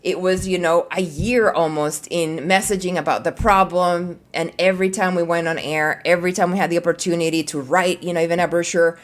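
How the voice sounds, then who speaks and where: A woman's voice: lowish voice, steady tone, brisk, hardly any pauses. A middle-aged woman from El Salvador, a marketing leader, answering podcast interview questions in an earnest, reflective way with frequent fillers.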